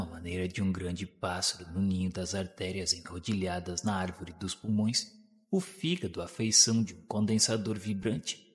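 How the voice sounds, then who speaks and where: A man's voice: lowish voice, a bit bright. A man with a Brazilian Portuguese accent giving formal, solemn, scripted literary narration for a radio drama. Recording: long reverb on the voice.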